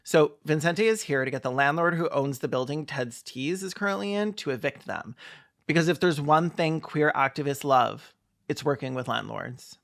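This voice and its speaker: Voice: medium pitch, moderate pace, creaky. A man giving sarcastic, mocking commentary as the host of a film review, with casual swearing.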